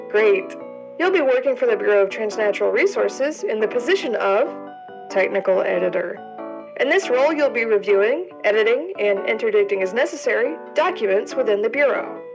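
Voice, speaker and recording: A woman's voice: a little high, creaky. A woman playing a polished orientation video narrator, over-enunciating an official script in an audio drama. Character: cheery female corporate orientation narrator, privately exasperated.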